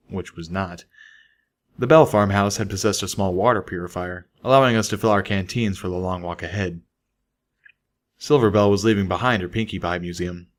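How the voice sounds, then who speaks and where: A man reading a scripted first-person story as an audiobook narrator. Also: fairly low pitch. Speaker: audiobook reader.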